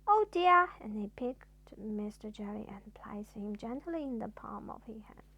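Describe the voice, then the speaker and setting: A woman storyteller reading a children's bedtime story aloud in an expressive way. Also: mid-range voice, a little slow, gravelly, breathy edge.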